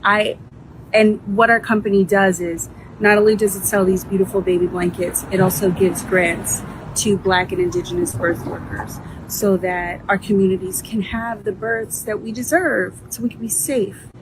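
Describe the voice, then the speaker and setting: A woman's voice: mid-range voice, fairly even intonation, moderate pace, background noise. Earnest woman business founder. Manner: earnest, encouraging, faith-filled. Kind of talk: heartfelt direct-to-camera message.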